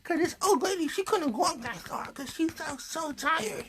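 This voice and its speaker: A man's voice: very high-pitched, lively intonation, moderate pace, crowd noise, voice pushed up. A man voicing a chatty, sassy young girl puppet who tells stories and complains in colloquial speech. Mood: recounting. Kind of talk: improvised puppet show storytelling.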